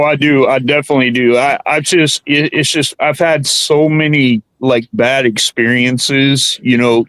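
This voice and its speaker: A man from the South Carolina Low Country, hosting a podcast and chatting casually with many restarts. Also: dry recording, low-quality recording. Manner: rambling with many restarts and fillers. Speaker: podcast host. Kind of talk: casual chat.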